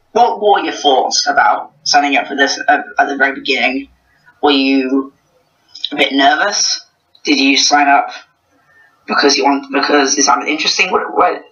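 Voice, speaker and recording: Bright young man, distant on a call. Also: medium pitch, lo-fi sound. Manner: loose, swears casually. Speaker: interviewer, host. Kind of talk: casual online interview.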